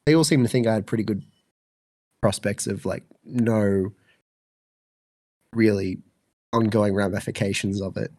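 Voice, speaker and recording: Aussie guy in his 20s, stuffy fluey voice, lowish pitch, dry close mic. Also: steady tone, a little slow, long pauses, mellow, no echo. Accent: Australian. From Australia. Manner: rambling, many fillers and restarts. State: congested, sounds fluey. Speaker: podcast co-host. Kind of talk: casual chat.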